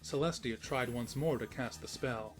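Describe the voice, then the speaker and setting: A man reads a scripted comic tale as its narrator. His voice sits at a medium pitch, with a storyteller's delivery and a wry touch. Music plays faintly underneath. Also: normal speed.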